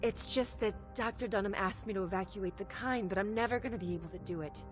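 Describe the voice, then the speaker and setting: An actress playing a chatty, harried female salon manager with an informal, expressive delivery in a video game. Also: medium pitch, fast, close to an everyday voice. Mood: harried, explanatory. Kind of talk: video game drama acting. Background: game music.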